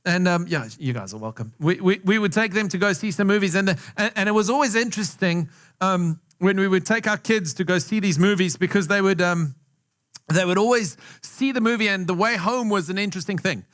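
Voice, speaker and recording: Conversational South African pastor preaching. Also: male voice, high-pitched, a little fast, a bit bright. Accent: South African with some American. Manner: conversational, asks the audience questions, repeats phrases for emphasis. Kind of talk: sermon. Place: church hall with a live congregation.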